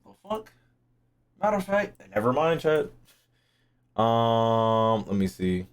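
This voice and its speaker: A man's voice: mid-range voice, big pitch swings, takes it slowly, clean tone. A young man giving casual livestream game commentary, slangy and profane, with playful rants. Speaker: game streamer.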